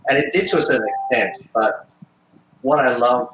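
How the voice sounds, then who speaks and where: A man, answering interview questions thoughtfully with frequent pauses and restarts. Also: mid-range voice, echoey room, noisy background. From Australia. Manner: thoughtful, hesitant, many fillers and restarts. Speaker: guest actor and filmmaker.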